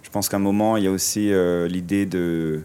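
Man, radio guest, hesitant, reflective, masked, slightly low pitch. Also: fairly even intonation, fairly quick, mellow, clean tone. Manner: hesitant, reflective, many restarts. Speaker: musician guest. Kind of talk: radio interview. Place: outdoors in a city street. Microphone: wearing a surgical mask.

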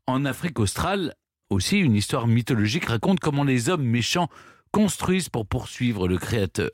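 Male radio history host, husky, animated storyteller, dry studio. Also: mid-range voice, normal speed, dry recording. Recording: dry studio sound with faint music behind.

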